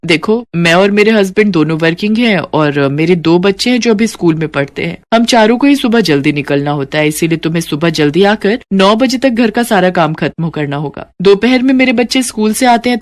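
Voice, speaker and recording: A woman's voice: lowish voice, lightly acted. A woman voicing a businesslike working mother and employer in a cartoon story. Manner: businesslike, authoritative. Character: brisk working mother, demanding but fair employer. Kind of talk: acted dialogue in a Hindi cartoon story.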